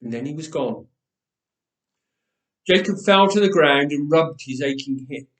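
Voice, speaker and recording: A man reading a story aloud with expressive, dramatic pauses, recorded in an echoey church. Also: on the high side, far from the mic. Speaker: storyteller, reader. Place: church, indoors.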